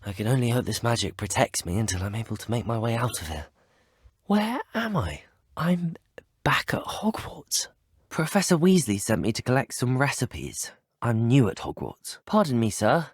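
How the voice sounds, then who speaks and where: A man's voice: on the low side, slightly rough, dry recording, studio quality. A young man with a British accent playing a polite, curious, brave teenage student wizard in a video game. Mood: wary, puzzled, then hushed wonder. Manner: polite, well-spoken, formal. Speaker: video game player character. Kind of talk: scripted video game dialogue.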